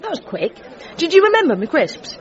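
A woman playing a bossy, breezy, down-to-earth character with a British accent in a comedy audio drama, brisk and scolding. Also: high-pitched, very animated, a faint crowd. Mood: cheerful. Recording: dull recording with slight hiss.

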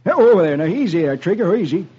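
A man voicing a steady, heroic cowboy with folksy, commanding talk in a radio story for children. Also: high-pitched, fast, breathy edge, heavily acted. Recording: dull, noisy old radio recording.

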